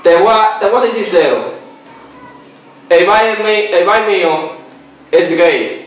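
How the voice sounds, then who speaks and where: A young man making a prank phone call from a couch, halting and repeating himself, holding back laughs. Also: sits high, a bit bright. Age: young adult. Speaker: prank caller. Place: small living room, seated on a couch. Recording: echoey room, far from the mic.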